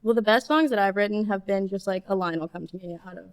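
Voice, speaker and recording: Smooth, slightly gravelly young woman. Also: mid-range voice, fast. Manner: easygoing, laughing, trails off softly. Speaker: songwriter guest. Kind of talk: casual conversational interview.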